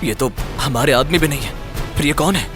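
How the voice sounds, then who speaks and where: A man's voice: a little high, fairly quick, music in the background, close to an everyday voice. A man playing a young, hot-headed and determined warrior prince, intense and commanding, in an audio drama. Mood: grim resolve. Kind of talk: Hindi audio drama acting.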